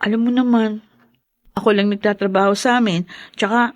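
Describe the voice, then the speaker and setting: An actress playing a patient, hard-working wife in a radio drama, mild and a little defensive. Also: female voice, medium pitch, fairly even intonation, fairly quick, dry recording, clean studio sound, natural voice.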